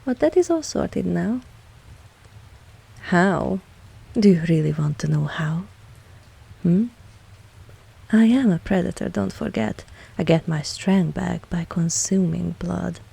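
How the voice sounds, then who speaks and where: A woman playing a dominant, seductive vampire mistress in an intimate ASMR roleplay, speaking softly and with measured, coolly protective authority. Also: lowish voice, animated, creaky, husky, full character voice. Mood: composed, attentive.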